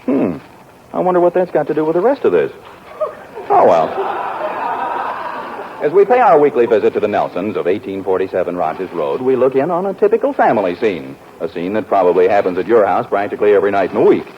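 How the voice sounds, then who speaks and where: A man's voice: lowish voice, very animated, quick pace, noisy background. A man announcing and narrating a 1940s radio sitcom in an emphatic style. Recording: dull lo-fi 1940s radio broadcast. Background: orchestra music.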